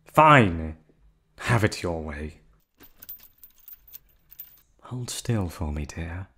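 A man voicing a calm, unhinged yandere receptionist who has kidnapped the listener, in an ASMR audio drama. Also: sits low, very slow, husky, full character voice. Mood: menacing. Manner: soft, coolly reasoning, menacing. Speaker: voice actor.

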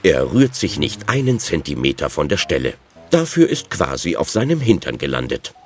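A man's voice: extremely low pitch, very animated, moderate pace. A man narrating a children's audio drama as a scripted storyteller.

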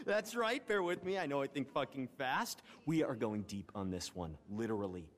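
Spoiled young american crime heir in a game, cocky buzzword talk, swears loads, voice all over the place, echoey. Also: male voice, medium pitch, fairly bright tone, a little hiss or hum. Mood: eager, self-important. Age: young adult. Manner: cocky salesman patter, corporate buzzwords, swearing. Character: brash, entitled young crime heir with big plans. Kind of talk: video game cutscene acting.

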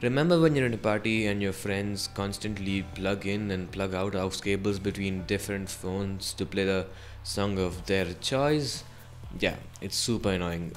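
A man's voice: lowish voice, a bit bright. A man presenting a tech video as a scripted voice-over, reviewing in a narrator's style. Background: background music.

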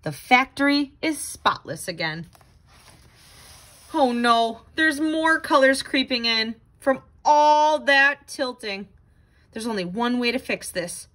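A woman reading a children's picture book aloud in an expressive, dramatic, exclaiming way. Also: a little high, slow. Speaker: storybook reader.

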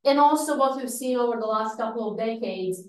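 A middle-aged woman from Croatia giving an academic seminar talk, lively, with frequent fillers. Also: fairly high pitch, brisk. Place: seminar room. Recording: echoey room, speaker far from mic.

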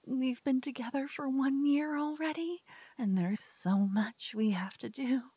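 A woman voicing a cocky, playful lover who whispers and teases softly in an ASMR roleplay. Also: sits high, measured pace, husky, no echo. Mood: playful teasing. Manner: playful, teasing, soft whispering. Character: cocky playful young woman, a devoted lover waking her partner. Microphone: binaural mic.

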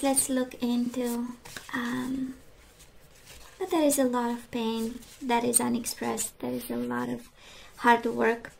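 A woman giving an intimate tarot reading monologue, halting, with phrases that trail into whispers. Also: fairly high pitch, gravelly, darkish tone, slight background noise, poor audio. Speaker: tarot reader.

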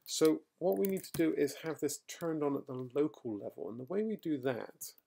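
A middle-aged man recorded at home, talking through a software tutorial as he types, with fillers and quick self-corrections. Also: on the high side, expressive, creaky. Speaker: software trainer. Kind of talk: screencast coding tutorial. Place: quiet home room.

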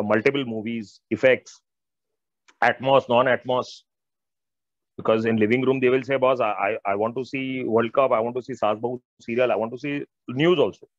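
A man's voice: mid-range voice, no echo. A middle-aged man with an Indian accent explains technical material informally and emphatically on a video call, repeating phrases and addressing listeners directly. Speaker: audio expert presenter. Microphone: wired earphones on a video call. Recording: dull band-limited video-call audio.

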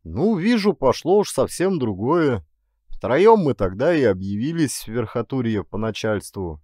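A weary former bandit from an audiobook tale, acted out by a male reader, talks in calm peasant idiom. He is frank and resigned, gloomy underneath, and his pitch moves widely. The sound is close and dry. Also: unhurried, dry recording. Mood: matter-of-fact. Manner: plain folksy peasant speech, calm.

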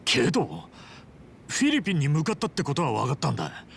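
A man's voice: on the high side, huge pitch swings, fast talker, gravelly, slight background noise, exaggerated voice. A man playing an earnest, troubled yakuza in a video game drama, hesitant and questioning. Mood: anxious, stunned. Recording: music behind the voice.

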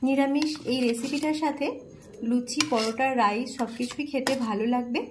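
A woman with a Bengali accent who hosts a cooking channel, calmly talking through a recipe step by step in her home kitchen. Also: sits high, normal speed, background noise. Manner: calm, plain instructional tone, listing ingredients.

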